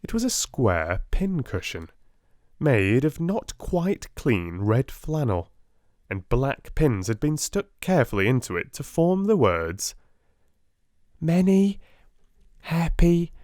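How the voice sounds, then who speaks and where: A soft-spoken man with a British accent, gently and expressively reading a storybook as an audiobook narrator. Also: mid-range voice, very animated, unhurried, dry recording, studio quality.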